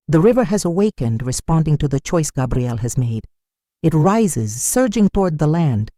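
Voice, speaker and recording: Folktale narrator, mid-pitched and mellow, solemn dramatic read, very dry recording. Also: no room sound. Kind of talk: dramatic scripted storytelling narration.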